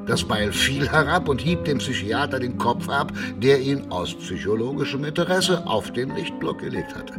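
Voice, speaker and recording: A man narrating a radio drama as a scripted, dramatic storyteller. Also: mid-range voice. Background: prominent music.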